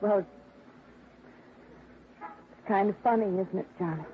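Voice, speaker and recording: An actress playing an anxious, tender young daughter in a 1950s radio drama, speaking with earnest emotion. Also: female voice, mid-range voice, measured pace, close to an everyday voice. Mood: wistful, sad. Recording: tinny, faintly noisy old radio recording.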